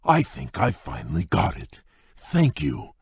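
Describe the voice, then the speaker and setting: An actor playing a large, shy, polite beast prince in a video game, speaking in a courteous, formal, earnest way with a husky voice. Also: male voice, wildly swooping pitch, measured pace, no room sound, clean studio sound, full character voice. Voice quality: deep husky voice. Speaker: game character. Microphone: dry close studio mic.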